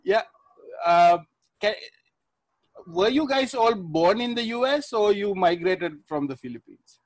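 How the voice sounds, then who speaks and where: A man hosting a casual podcast interview, friendly and chatty, often pausing with "uh" and laughing lightly. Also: high voice, animated, no echo, low-quality recording. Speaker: podcast host. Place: Manila.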